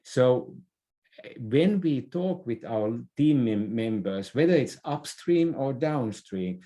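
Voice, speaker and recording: Slow-talking, mellow man explaining haltingly. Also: medium pitch. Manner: halting, with repeated words and self-corrections. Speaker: interview guest, leadership expert. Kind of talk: conversational explanation in an interview.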